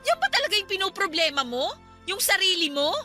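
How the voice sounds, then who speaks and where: A woman's voice: very high voice, big pitch swings, fairly quick, pushed and bright, heavily acted. An actress playing a hurt, defiant young pregnant woman in a Tagalog radio drama, speaking with emotional reproach. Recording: music bed behind the voice.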